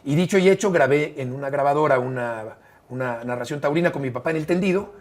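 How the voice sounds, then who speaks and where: A Mexican man in his fifties with a Mexican accent, a sports commentator telling stories in an interview, expressive and emphatic with frequent fillers. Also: mid-range voice, quick pace. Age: 50s. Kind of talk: conversational interview storytelling.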